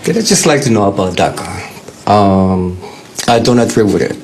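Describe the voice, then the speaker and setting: A man's voice: lowish voice, animated, moderate pace, darkish tone, poor audio. Over faint background noise, a man speaks from the audience. He talks unscripted, as an ordinary member of the public. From Colombia. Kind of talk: unscripted question and personal account.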